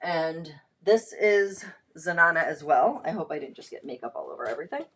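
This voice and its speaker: A woman with a slightly low voice talks in a chatty, emphatic, informal way, like a host of a live clothing try-on. Her voice is going a little from a lingering cold, and her delivery stays casual and conversational. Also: expressive, normal speed, a bit bright, husky.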